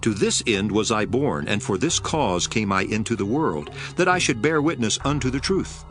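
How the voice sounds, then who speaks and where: Man voicing Jesus, calm and solemn. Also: on the low side, moderate pace, natural voice. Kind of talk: dramatized scripture reading. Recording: audio drama with music bed.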